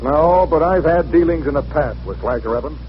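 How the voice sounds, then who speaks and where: An actor playing a blunt, frustrated, slangy police commissioner in 1940s radio style. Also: male voice, on the high side, a little fast, close to an everyday voice. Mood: exasperated. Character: gruff, frustrated, plain-spoken male police commissioner. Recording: noisy, muffled old radio recording.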